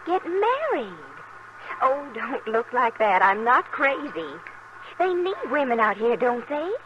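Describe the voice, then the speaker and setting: A headstrong, naive young frontier woman in a vintage radio western. She speaks earnestly and pertly, with lively intonation. The old broadcast recording is clearly noisy. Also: high-pitched, slow, highs cut off, full character voice. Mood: stubborn, earnest. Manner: pert, earnest, stubborn. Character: headstrong, naive eighteen-year-old frontier bride-seeker. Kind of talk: 1950s radio western acting.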